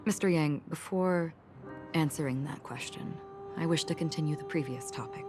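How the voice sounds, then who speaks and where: An actress voicing a calm, melancholy wandering warrior woman in a video game, measured and soft-spoken. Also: deep voice, lightly acted. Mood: grave, reflective. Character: solemn, melancholy wandering swordswoman, calm and enigmatic. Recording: background music.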